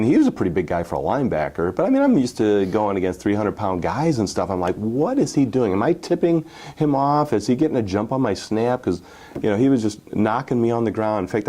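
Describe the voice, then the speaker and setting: A man in his forties, a former football player, telling stories from his playing days in a relaxed interview, with many fillers and restarts. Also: on the low side. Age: 40s. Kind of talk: casual storytelling interview.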